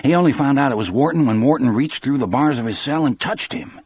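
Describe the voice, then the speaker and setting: A man's voice: mid-range voice, quick pace, darkish tone, slightly rough, no room sound, voice pushed up, lightly acted. A man voicing a thoughtful, plain-spoken male prison guard in an audiobook. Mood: questioning. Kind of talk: audiobook character dialogue.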